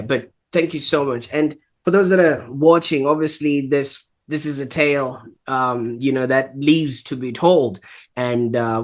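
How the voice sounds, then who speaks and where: Encouraging male podcast host. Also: mid-range voice. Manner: measured, encouraging, summarizing the guest. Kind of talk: interview hosting.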